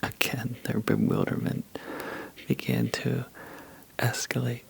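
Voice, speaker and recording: A man telling a sleepy ASMR bedtime story in a soft, breathy half-whisper, halting and drowsy, with long pauses. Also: medium pitch, little pitch movement.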